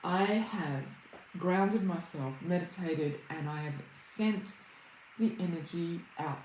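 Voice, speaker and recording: A woman's voice: on the low side, takes it slowly, a little mellow, echoey room, a little hiss or hum, rough recording. An Australian woman, a Reiki healer hosting a casual livestream, chatting and greeting viewers by name.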